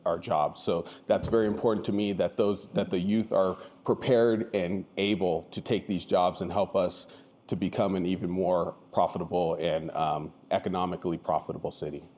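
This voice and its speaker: A man answering as a city candidate at a forum, measured and soft-spoken. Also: sits low, fairly even intonation.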